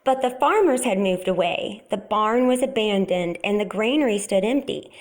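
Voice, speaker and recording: A woman storyteller reading a children's picture book aloud in a deliberate, expressive way. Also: mid-range voice.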